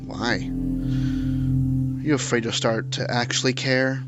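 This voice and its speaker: Man playing a slow-talking, wounded young lover. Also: mid-range voice, steady tone, close to an everyday voice. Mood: hurt, accusing. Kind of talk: audio drama acting. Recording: muffled sound under loud guitar music.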